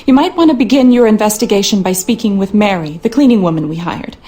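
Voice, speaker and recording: A woman's voice: medium pitch, lively intonation, fast talker, dark tone, faint music behind, natural voice. An actress playing a worried, skeptical wife and mother, speaking earnestly and dramatically in a game monologue.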